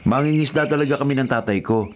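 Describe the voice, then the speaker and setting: A man's voice: mid-range voice, fast talker, slight background noise, lightly acted. A man playing a humble, playful young gardener from the province in a Filipino radio drama, with a Filipino accent, teasing and respectful. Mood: sincere.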